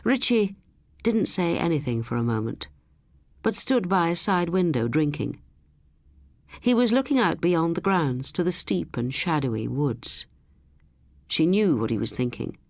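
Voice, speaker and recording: A woman narrates a suspense audiobook in a dry, close recording. Her voice sits on the low side and moves with lively, dramatic variation as she unfolds the story in a measured way. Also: dry recording.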